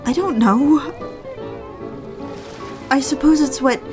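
A woman playing a romantic, probing newlywed wife in a period audio drama, earnest and gently pleading as she questions. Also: sits high, slow pace, over music.

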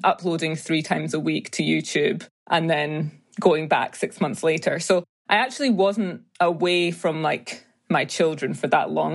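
A woman in her thirties from Scotland with a Scottish accent, chatting casually as an interview guest, full of fillers and light laughter. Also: deep voice. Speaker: guest, YouTube creator.

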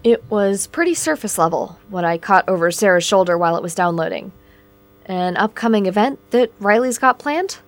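A woman's voice: mid-range voice, lively intonation, moderate pace, natural voice. An actress playing a blunt, down-to-earth magic user in an audio drama, casual in her speech. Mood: frank, casual. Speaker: voice actor in a fiction podcast. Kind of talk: scripted audio drama acting.

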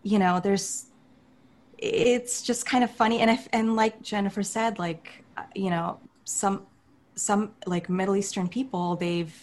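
A woman's voice: mid-range voice, fairly even intonation, unhurried, a little mellow. A woman in a casual group conversation who rambles, leaning hard on fillers like "like" and "you know".

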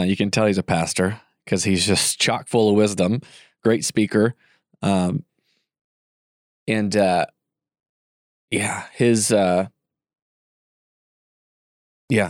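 A man's voice: fairly low pitch, no echo, studio quality. A congested man hosting a casual podcast, rambling with fillers and restarts. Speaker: podcast host.

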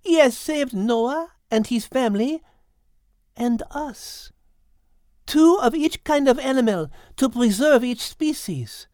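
A man voicing a thoughtful, grave small female animal in a storybook, explaining things in a solemn, deliberate way. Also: very high-pitched, expressive, a little mellow, no echo, studio quality, pitched above the performer's own voice, exaggerated voice. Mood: grave realization.

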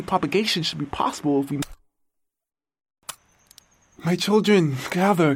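A man's voice: on the high side, slight background noise. A man playing an aging artificial intelligence, a doting creator-parent musing with theatrical wonder in an audio drama monologue. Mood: proud, halting, then doting, confused. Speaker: narrator and lead character. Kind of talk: dramatic first-person audio fiction monologue.

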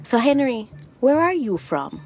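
A woman's voice: on the high side, measured pace, soft background music. An actress playing Maxine, a friendly, talkative Jamaican woman with a strong Jamaican accent in a radio drama. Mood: chatty. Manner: talkative, lively patois. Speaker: Maxine, a traveller at the beach.

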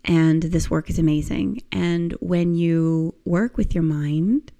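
A woman hosts her own podcast despite a croaky, hoarse voice that keeps catching into a gravelly fry. Her tone is mellow and dark rather than bright. She speaks in an enthusiastic, encouraging way, gently walking listeners through a movement lesson. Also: fairly low pitch, slow. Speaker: podcast host and movement teacher. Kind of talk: casual podcast monologue.

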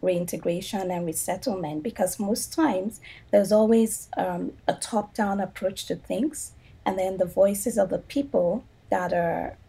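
A woman's voice: medium pitch, creaky, dark tone, husky. A woman from Africa, a social work professor, explaining her views earnestly as a podcast guest. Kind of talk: interview answers.

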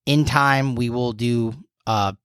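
A man's voice: medium pitch, fairly even intonation, slow, fairly bright tone, smooth, dry recording, clean studio sound. A man co-hosting a casual podcast, chatty and teasing, laughing easily and rambling with fillers. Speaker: podcast co-host.

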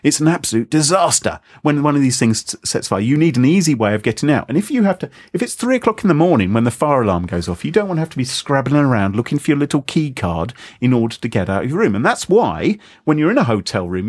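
Lively, joking podcast host. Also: male voice, medium pitch, fast talker, few pauses, slightly rough, dry recording, studio quality. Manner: jokey, rambling, self-mocking. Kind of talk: casual podcast chat.

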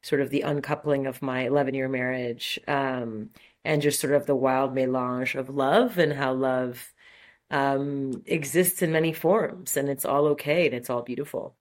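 A woman's voice: very deep voice, fairly even intonation, normal speed. A woman in her forties from Montreal, a native French speaker, talking enthusiastically as an interview guest with many fillers and restarts. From Montreal, Canada. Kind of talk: casual interview conversation.

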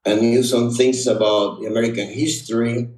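A man with a Honduran Spanish accent shares personal memories in conversation, at a middling pitch. He is reflective and halting, often dropping in "you know." The sound is echoey, and he sits a little way from the microphone. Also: steady tone, darkish tone. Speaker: podcast guest. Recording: roomy echo, distant microphone.

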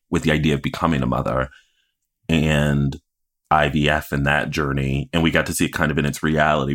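A man with a remarkably deep voice talks as a podcast co-host in a casual TV recap. His manner is opinionated and emphatic, with a playful streak. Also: fairly bright tone.

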